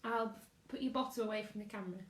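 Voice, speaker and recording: A woman's voice: mid-range voice, fast, slight background noise. A British woman, a primary school teacher, chatting casually on a livestream and greeting viewers in a chirpy, sing-song way. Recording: roomy, echoey room sound.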